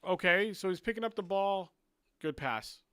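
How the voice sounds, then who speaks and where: Male streamer, slightly high voice, dry close mic, fired-up fan commentary. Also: normal speed, smooth, dry recording. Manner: frustrated, excitable rants about players; muttering while fixing stream clock. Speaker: football watchalong streamer. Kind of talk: live stream match commentary.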